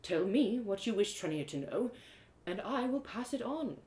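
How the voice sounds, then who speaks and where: A woman's voice: medium pitch, lively intonation, moderate pace, a little mellow, a little hiss or hum, natural voice. A woman voicing a self-righteous male elf guard in a storybook, with formal, mocking speech. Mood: condescending. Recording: roomy echo.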